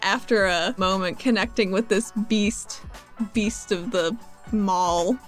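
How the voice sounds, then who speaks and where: A woman with a medium-pitched voice plays a tabletop role-playing game. She chats casually and playfully, improvising what happens, with music playing behind her. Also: slow.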